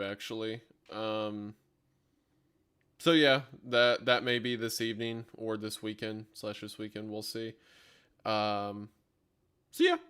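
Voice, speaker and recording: Male livestream host, mid-pitched voice, casual and rambling, thinks aloud while multitasking. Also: fairly bright tone. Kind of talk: casual unscripted livestream chat.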